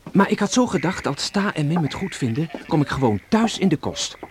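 An actor playing a proud, teasing young sailor who has come home, in a Dutch radio play. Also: male voice, a little high, very animated, brisk. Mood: cheerful, proud. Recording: noisy old radio drama recording.

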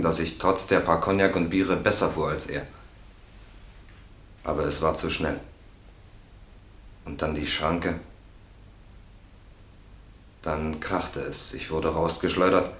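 An actor playing a guilt-ridden defendant in a German radio crime drama, halting and subdued. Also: male voice, sits low, a bit bright. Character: guilt-ridden, evasive male defendant confessing in court. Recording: roomy, echoing radio drama studio.

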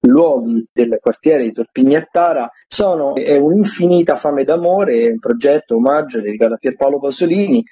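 Husky, mellow man, hesitant delivery. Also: medium pitch, moderate pace, few pauses, no room sound, highs cut off. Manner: hesitant, often repeating phrases. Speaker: theatre critic giving a weekly events agenda. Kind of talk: informal spoken radio rundown.

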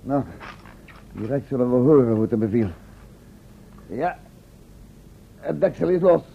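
A man's voice: mid-range voice, expressive, a little slow, gravelly. An actor playing a professor's uneasy, deferential male assistant in a radio play, hesitant, polite and questioning. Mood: expectant. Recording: old, muffled thin radio recording with noise.

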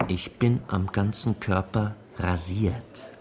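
A man's voice: lowish voice, steady tone, slow, noisy background. A man playing a pompous, embarrassed police chief in a radio crime comedy, speaking formally and evasively. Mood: indignant, flustered. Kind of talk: German radio play dialogue.

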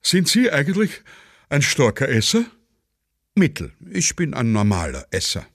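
Man playing a chatty Viennese grumbler, inquisitive, fussy, very lively intonation, dry studio sound, satirical radio play. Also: mid-range voice, unhurried, vocal fry, no room sound, heavily acted.

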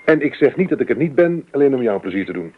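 An actor playing a weary, irritable, contrary husband in a radio drama, terse and grumbling. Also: male voice, mid-range voice, big pitch swings, fast talker, close to an everyday voice. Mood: tired, irritated. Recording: tinny, slightly noisy old radio recording.

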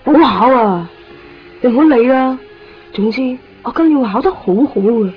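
A woman's voice: a little high, expressive, moderate pace, faint music behind, natural voice. A woman playing an intense, resentful teenage schoolgirl in a radio drama, insistent and eerie, with a Hong Kong Cantonese accent. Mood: insistent, agitated. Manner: insistent, brooding. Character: intense, cold, resentful teenage schoolgirl, eerily fixated on exams. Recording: muffled 1990s radio drama recording.

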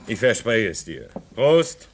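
A man's voice: lowish voice, big pitch swings, slow pace, full character voice. An actor in a German radio play as a homesick, restless man at a polar station, brooding and theatrical, swinging between muttering and outcry. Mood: restless, defiant.

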